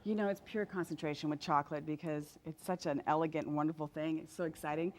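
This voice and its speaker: A woman's voice: low-pitched, steady tone, fast, darkish tone, slight background noise. A woman from Louisiana, a guest chef on a TV kitchen set, cheerfully explaining each step as she cooks. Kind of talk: cooking demonstration.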